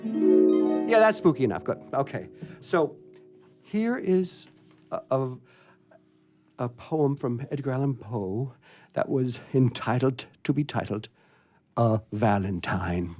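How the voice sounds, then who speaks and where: A man's voice: mid-range voice, animated, takes it slowly, pauses often, warm tone. Playful theatrical man over plucked harp music. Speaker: host of a radio theatre show. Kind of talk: casual banter and poetry reading.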